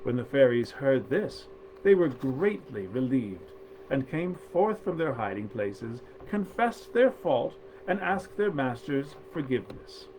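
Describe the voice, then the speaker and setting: A man's voice: mid-range voice, sing-song, unhurried, a little mellow. Man reading a story, noisy recording. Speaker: storyteller, actor reading aloud at event. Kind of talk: expressive literary storytelling.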